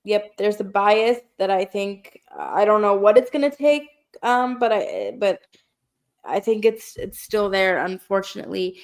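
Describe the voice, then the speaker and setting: A woman's voice: mid-range voice, a little slow. A woman hosting a casual film podcast, talking in an easy chat with many fillers, her voice nasal from a cold. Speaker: podcast host.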